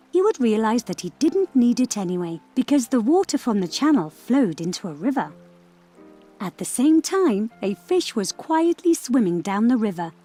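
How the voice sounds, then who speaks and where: A woman storyteller narrating a scripted fairy tale for children in a measured, expressive way. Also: on the high side.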